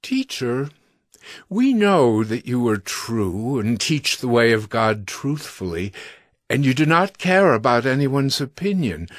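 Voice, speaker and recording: Slow, flattering Pharisee's disciple. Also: male voice, medium pitch, no echo, clean studio sound. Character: smooth-talking flattering male disciple of the Pharisees. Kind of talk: dramatized scripture audio drama.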